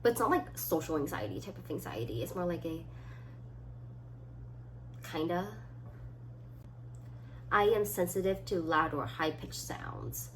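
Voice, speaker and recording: Young woman vlogger, lively swings, noisy recording. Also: on the low side, unhurried, long pauses. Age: about 20. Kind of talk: casual solo chat, reading quiz items aloud and answering. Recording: clearly audible background noise.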